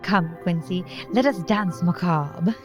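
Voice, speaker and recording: A woman's voice: lowish voice, takes it slowly. Woman acting a haunted, genteel young lady, faint music. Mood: playful pleading. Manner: theatrical, genteel. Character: doomed young gentlewoman, fanciful, haunted yet defiant. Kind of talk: radio play acting.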